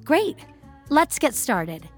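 A woman's voice: high-pitched, sing-song, extremely slow, faint music behind, pitched above the performer's own voice, exaggerated voice. Cheery, soothing fitness app assistant voiced by a woman.

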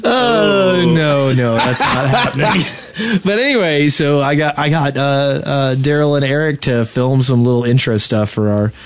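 A man's voice: medium pitch, lively intonation, unhurried, hardly any pauses, noisy background. A man co-hosting a podcast in a studio, talking in casual, joking banter. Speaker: podcast co-host.

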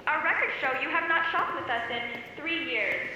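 An actress voicing a perky, salesy talking store advertisement that greets shoppers in an audio drama. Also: female voice, sits high, normal speed, pushed and bright, slight background noise. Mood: chirpy, salesy. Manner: chirpy, salesy. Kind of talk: retail announcement in an audio drama. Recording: cavernous echo, faint street ambience.

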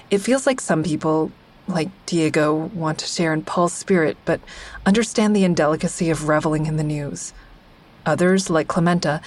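A woman acting as the wry, observant first-person narrator of an audio drama, a member of a support group. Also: low-pitched. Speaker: narrator and character.

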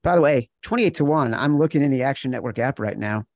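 A man's voice: medium pitch, expressive, fast talker, darkish tone, no room sound. A man hosting a sports betting podcast, talking casually and emphatically, repeating words for effect.

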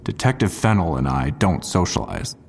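In a reverberant space, a low male voice plays a surly homicide detective for an audio drama. He is guarded and evasive, giving terse, deadpan answers. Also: moderate pace. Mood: deadpan defiance. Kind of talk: full-cast audio drama interrogation.

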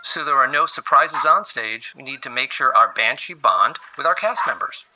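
An actor playing an earnest, easily exasperated animal trainer in a comedic audio drama, speaking emphatically and matter-of-factly. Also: male voice, medium pitch, lively intonation, normal speed, sharp tone, low-quality recording. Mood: businesslike.

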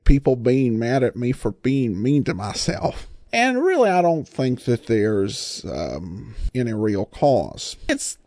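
A man hosting a solo podcast, giving informal commentary in a self-deprecating, hesitant way with frequent pauses. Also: medium pitch, huge pitch swings, darkish tone, no echo, studio quality. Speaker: podcast host.

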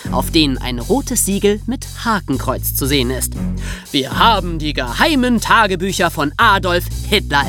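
A podcast host narrating from a script in an explanatory storytelling way, with conversational asides. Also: mid-range voice.

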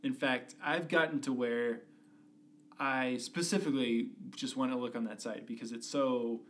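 A man's voice: medium pitch, fairly even intonation, normal speed, a bit bright, a little hiss or hum. Patient man explaining code. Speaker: podcast co-host, experienced developer. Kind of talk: casual explanatory chat.

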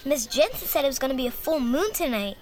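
A performer playing an earnest, bright, lonely little boy in an audio drama. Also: sits high, animated, fast. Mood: excited, earnest. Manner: earnest, childlike. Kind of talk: audio fiction acting.

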